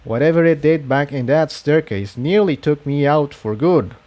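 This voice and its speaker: Male unicorn pony voiced by a man, earnest, cautious, gentle, slightly lifted pitch, mellow, storybook adventure. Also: moderate pace, close to an everyday voice. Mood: grave.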